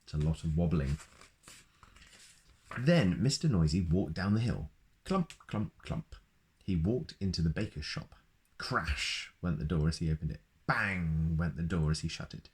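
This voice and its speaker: A man's voice: low-pitched, lively intonation, measured pace. A man reading a children's picture book aloud in a playful way, adding sound effects. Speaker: storyteller.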